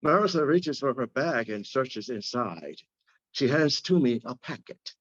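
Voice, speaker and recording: A man's voice: medium pitch, expressive, a little slow. A man reading stage directions as scripted narration at a live screenplay reading. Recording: dull lo-fi audio.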